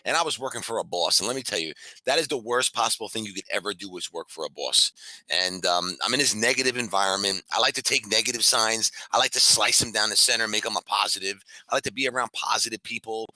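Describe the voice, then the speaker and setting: A high-energy man speaking as a business founder being interviewed, in an emphatic, boastful motivational style. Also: sharp tone, no room sound, low-quality recording. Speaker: fitness franchise founder and CEO, guest.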